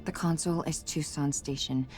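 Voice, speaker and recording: A woman's voice: low voice, steady tone, normal speed, darkish tone, faint music behind, lightly acted. An actress voicing a tough young woman prisoner in a video game, curt and blunt, swearing freely. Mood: tense.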